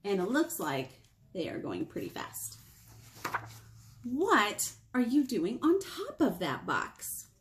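A woman in her thirties, a children's librarian, reading picture books aloud in a lively, sing-song way with whispers, recorded close on a webcam in a small room. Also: mid-range voice, slow pace, slight background noise. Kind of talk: storytime read-aloud for children.

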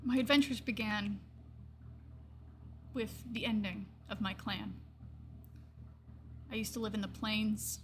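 A woman voicing a gentle old gray-furred lion-folk druid in a fantasy game monologue, wistful and reflective, with pauses and hushed trailing phrases. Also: medium pitch, fairly even intonation, a little hiss or hum. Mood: earnest, reminiscing.

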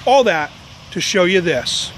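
A man's voice: high voice, lively intonation, measured pace. Playful man filming himself outdoors by traffic. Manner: playful, mock-dramatic, wisecracking. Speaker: vlog host. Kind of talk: jokey exploring vlog. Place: outdoors by a road, overcast and drizzly. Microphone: handheld camera at arm's length. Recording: outdoor traffic noise behind. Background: passing cars.

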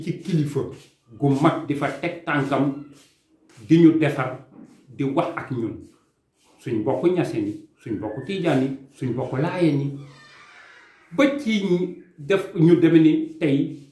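A man's voice: a little high, dark tone. A young Senegalese man, an immigration consultant, gives an impassioned, emphatic statement to camera, gesturing with his hands. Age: young adult. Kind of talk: earnest video statement, mixing French and Wolof. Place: small indoor room. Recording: echoey room sound.

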